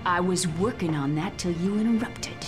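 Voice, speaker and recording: A woman playing a sly, defiant young vigilante in a video game, teasing and wry, sometimes breathy. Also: lowish voice, normal speed, music in the background, natural voice. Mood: earnest, urgent. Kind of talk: video game cutscene acting.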